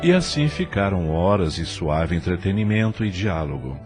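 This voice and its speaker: A man's voice: low-pitched, sing-song, moderate pace, music in the background. A Brazilian man delivering scripted narration for an audio drama.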